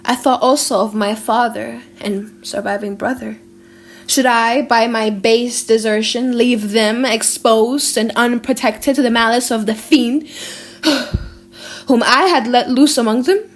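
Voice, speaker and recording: A woman narrating an audiobook in a theatrical, emotive style, with drawn-out pauses and hushed drops. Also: on the high side, steady tone. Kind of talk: dramatic audiobook reading.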